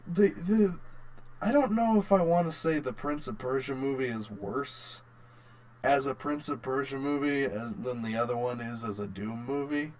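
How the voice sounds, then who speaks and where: A man co-hosting a casual film review chat, halting and repeating words as he argues his point. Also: medium pitch. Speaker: podcast co-host.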